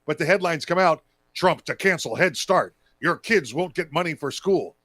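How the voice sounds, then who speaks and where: American guy chatting politics, voice a touch high, very dry audio, keeps stopping and repeating himself. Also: expressive, moderate pace, fairly bright tone, dry recording. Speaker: political talk show co-host. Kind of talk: casual political commentary chat.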